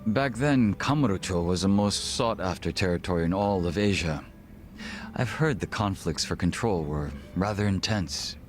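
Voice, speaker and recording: A male actor plays a suave gangster second-in-command with a deep voice. He is guarded and polite, with formal, measured phrasing and a dry wit, in a video game drama with music behind him. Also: lightly acted. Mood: grave, measured. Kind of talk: English video game voice acting.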